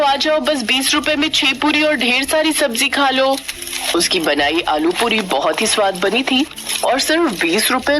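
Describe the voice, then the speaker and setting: A woman's voice: fairly low pitch, noisy background. A woman narrating a scripted children's moral story.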